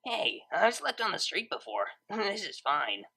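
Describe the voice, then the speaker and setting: Woman voicing a brash, creaky-voiced young unicorn DJ. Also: mid-range voice, very animated, unhurried, sharp tone, exaggerated voice. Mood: tender, easygoing. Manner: casual, slangy, teasing.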